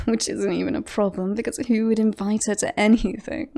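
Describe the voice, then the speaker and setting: Woman acting a slow-talking, smug female werewolf. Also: mid-range voice, warm tone, lightly acted. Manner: teasing, mocking, drawn-out coaxing delivery. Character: smug, possessive female werewolf, lovestruck with a human. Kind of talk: ASMR roleplay.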